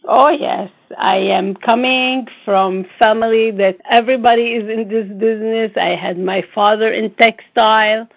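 A woman's voice: on the high side, fairly even intonation, measured pace, gravelly, hardly any pauses. Chatty woman from the Middle East. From Middle East, born in Lebanon, raised partly in Israel. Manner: non-native English phrasing, chatty, laughs often. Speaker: fashion designer and brand owner, guest. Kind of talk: casual interview chat. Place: at home. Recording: slightly muffled lo-fi audio.

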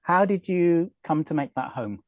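A man's voice: fairly high pitch, expressive, normal speed, a little mellow, no echo, lo-fi sound. A man hosting a podcast, asking short, straightforward questions. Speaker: podcast host. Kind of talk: podcast interviewing.

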